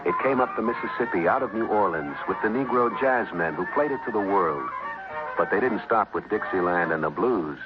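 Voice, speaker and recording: A man's voice: lowish voice, animated, brisk. An American man reading a scripted 1960s radio commercial as an announcer. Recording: dull vintage radio recording. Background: loud jazz music.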